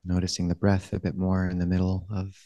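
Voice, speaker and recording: A man with a fairly low voice guides a meditation in a gentle, unhurried way. His pitch stays very even, with long pauses between phrases. The sound is close and dry. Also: dry recording. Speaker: monastic meditation teacher.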